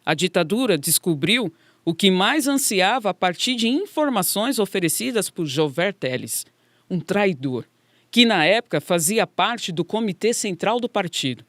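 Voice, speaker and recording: A woman's voice: medium pitch, very animated, moderate pace, fairly bright tone, no echo, studio quality. A woman with a Brazilian accent reading scripted narration for a radio documentary.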